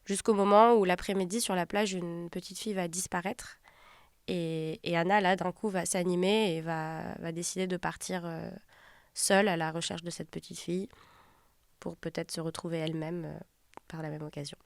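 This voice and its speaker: A woman film director from Turkey, talking about her film in a reflective, halting way and often pausing to find her words. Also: fairly low pitch, steady tone, dry recording, clean studio sound.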